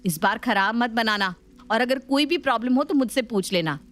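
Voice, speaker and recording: A woman's voice: on the high side, lively intonation, moderate pace, fairly bright tone. Woman acting a bossy elder sister-in-law. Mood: instructing.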